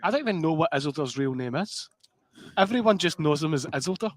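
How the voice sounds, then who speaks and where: A man's voice: on the high side, expressive, fast talker. A man with a Scottish accent commentating live poker, chatty and joking. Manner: chatty and joking, sings snatches of song.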